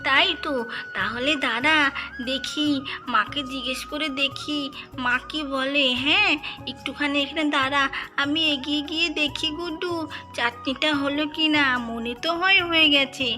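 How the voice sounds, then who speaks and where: A woman's voice: sits high, normal speed, bright, forceful tone, soft background music. A woman voicing an eager, food-loving little child in a cartoon, chattering and wheedling with repeated calls. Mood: eager, scheming. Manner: wheedling, repetitive calling.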